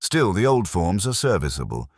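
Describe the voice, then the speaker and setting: A man's voice: low-pitched, very animated, moderate pace, slightly rough, no echo, clean studio sound, voice pushed up, full character voice. A man playing a cautious, wryly pompous small-town solicitor in an audio drama, formal and fussily precise. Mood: wry, discreet. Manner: formal, fussy, precise wording.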